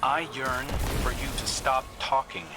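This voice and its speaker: A man's voice: mid-range voice, fairly even intonation, unhurried, over loud music, natural voice. A man playing a weary warrior prince in video game mission dialogue, speaking in a dry, terse manner. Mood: irritated, defensive. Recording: clearly noisy game ambience.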